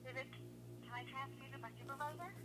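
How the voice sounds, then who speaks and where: A court clerk speaking curtly and briskly over the phone. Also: female voice, high-pitched, flat delivery, fairly bright tone, faint music behind. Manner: curt, brisk. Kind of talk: phone call. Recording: tinny phone line.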